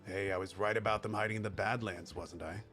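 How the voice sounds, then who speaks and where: An actor playing a jaded veteran scavenger in a video game, cynical, blunt and grumbling. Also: male voice, lowish voice, fairly even intonation, a little fast, fairly bright tone, soft background music, close to an everyday voice. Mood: bitter, grumbling. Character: sour, jaded veteran badlands scavenger, gruff older man. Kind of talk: video game cutscene acting.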